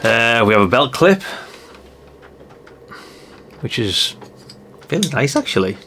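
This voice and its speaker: A man's voice: medium pitch, very animated, slow, pushed and bright, a little hiss or hum. An older man chatting in a rambling way, thinking aloud through a casual hands-on product review. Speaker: radio hobbyist reviewer.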